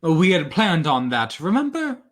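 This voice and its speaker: A man's voice: a little high, sing-song, unhurried. A man acting in an old-time radio drama as a cool, conscientious hired killer, with formal, understated politeness. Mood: cool, matter-of-fact.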